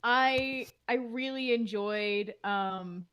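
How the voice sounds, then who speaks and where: Rambling woman with a higher voice. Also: extremely slow, a bit bright, clear, resonant tone. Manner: rambling with many fillers and restarts. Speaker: podcast writer. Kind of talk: casual Q&A chat.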